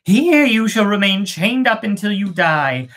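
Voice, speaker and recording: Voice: medium pitch, lively intonation, measured pace, a bit bright, pitched above the performer's own voice. A storyteller voicing a vengeful young witch maiden from a fairy tale, with commanding, theatrical, scornful menace. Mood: vengeful, menacing. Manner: commanding, theatrical villain speech.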